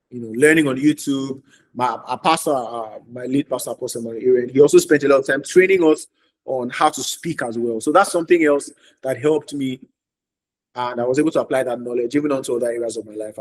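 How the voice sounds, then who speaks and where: A man's voice: medium pitch, low-quality recording. A man, earnestly telling his story as a podcast guest, repeating phrases for emphasis. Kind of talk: casual podcast interview.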